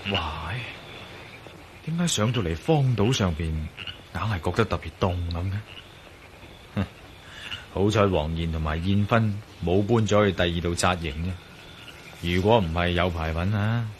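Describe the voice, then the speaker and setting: A man acting a resolute, wary yet reassuring adventurer in a radio drama, with a Hong Kong Cantonese accent, speaking in colloquial, expressive monologue. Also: deep voice, a little slow, pauses often, slight background noise. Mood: determined, musing. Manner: colloquial, self-talking, expressive.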